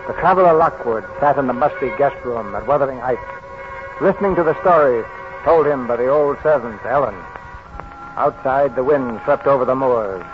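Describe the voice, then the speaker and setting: A man's voice: mid-range voice, close to an everyday voice. Man as solemn gothic narrator, thirties radio delivery, tinny recording, music behind. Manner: deliberate, dramatic. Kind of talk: 1930s radio scripted narration. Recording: tinny narrow-band 1939 radio recording.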